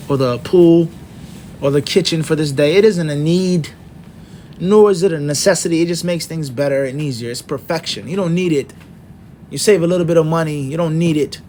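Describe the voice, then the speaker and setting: Man, middle-aged, lecturing, emphatic list-making delivery, slightly high voice, faint background rumble. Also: darkish tone. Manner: emphatic, lists points one by one with rhetorical questions. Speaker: Islamic teacher.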